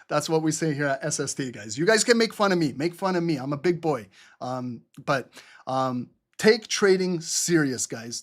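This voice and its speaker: Chatty man hosting a trading webinar. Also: a little high. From Albanian heritage. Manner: rambling, chatty, self-mocking, many fillers. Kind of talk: casual live trading webinar.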